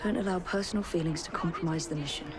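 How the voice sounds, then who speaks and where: An actress with an English accent playing a dutiful, sharp-tongued young assassin in a game, clipped and sardonic. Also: female voice, on the low side, fast, a crowd in the background, close to an everyday voice. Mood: irritated. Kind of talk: video game cutscene acting. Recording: music behind the voice.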